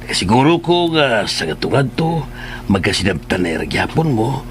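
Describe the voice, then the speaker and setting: A man's voice: on the low side, normal speed, a little hiss or hum. Easygoing Filipino husband and father, middle-aged, hugely varied intonation, affectionate, radio drama style. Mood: pleased, reassuring. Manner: expressive, mixes English phrases into talk.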